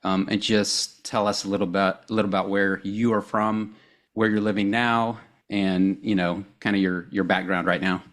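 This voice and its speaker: Low-voiced man hosting a podcast. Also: normal speed. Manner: relaxed, informal phrasing with fillers. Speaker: podcast host. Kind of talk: casual interview chat.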